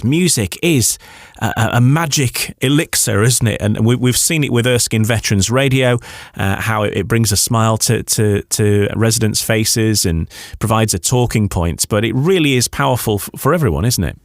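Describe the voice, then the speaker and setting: A man hosting a conversational radio interview, framing his questions a little haltingly with repeated words. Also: medium pitch, no room sound, clean studio sound.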